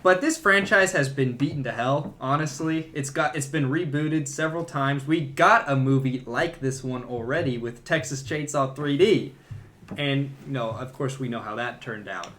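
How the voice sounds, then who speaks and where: A man talking casually as a podcast host in a relaxed back-and-forth movie discussion. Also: medium pitch, normal speed.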